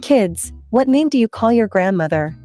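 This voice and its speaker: A woman's voice: a little high, lively intonation, normal speed, warm tone, faint music behind. A woman narrating an interactive children's story, playful and conversational, speaking directly to the kids.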